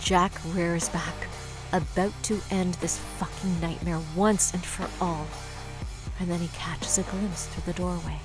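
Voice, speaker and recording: A woman's voice: lowish voice, steady tone, measured pace, music in the background. A woman narrator delivering dramatic scripted narration for an audio story.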